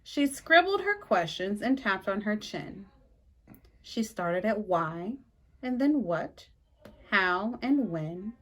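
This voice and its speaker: A woman reading a rhyming picture book aloud for storytime, with a lively sing-song delivery and slow, emphatic pauses. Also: medium pitch. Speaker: storytime reader.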